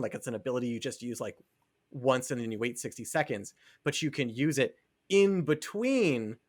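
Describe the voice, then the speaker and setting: A man co-hosting a podcast, chatting casually about games in an informal, halting way full of fillers. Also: mid-range voice, fairly bright tone. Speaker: podcast co-host.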